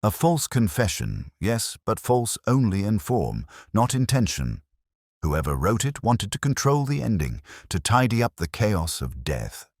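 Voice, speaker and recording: A man playing a weary, cerebral police inspector, narrating a detective audio drama in a measured, brooding, literary way with a gravelly voice. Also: on the low side, sing-song, no room sound, clean studio sound, exaggerated voice. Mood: grave, pondering.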